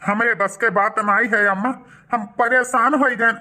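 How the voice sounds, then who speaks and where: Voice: medium pitch, fairly even intonation, a little slow, pushed and bright. A voice actor playing a remorseful village husband and son in a cartoon, earnest and humbly pleading, with an Awadhi accent. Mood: exasperated, contrite.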